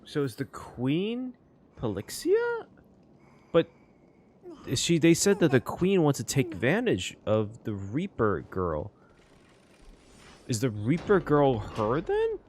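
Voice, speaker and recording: A man giving casual, expressive live reaction commentary on a game story, swearing as he puzzles aloud. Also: mid-range voice, takes it slowly, long pauses. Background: faint game music.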